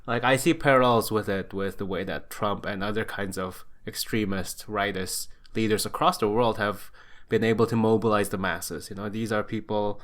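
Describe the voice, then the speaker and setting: A man co-hosting a podcast, weighing political views in a measured, thoughtful way with frequent pauses. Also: on the low side, steady tone, fairly bright tone. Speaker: podcast co-host. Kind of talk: casual political discussion.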